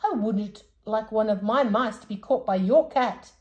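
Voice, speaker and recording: A woman voicing an old, hard-of-hearing, cheerful saucepan man from a storybook who mishears words and talks loudly. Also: fairly high pitch, measured pace, reverberant, highs cut off, pitched above the performer's own voice, lightly acted. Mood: excited. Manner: loud, excitable, mishears words.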